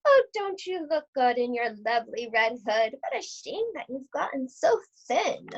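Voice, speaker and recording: Sly hungry wolf posing as granny played by a woman, sugary, coaxing, high swooping pitch, storybook character, dull sound. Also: very animated, a little slow, full character voice.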